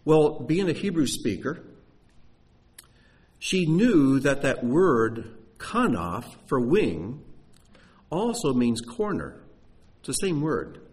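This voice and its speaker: A man preaching and teaching, animated and emphatic, often dropping to a hushed voice. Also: on the high side, takes it slowly, pauses often. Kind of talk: sermon.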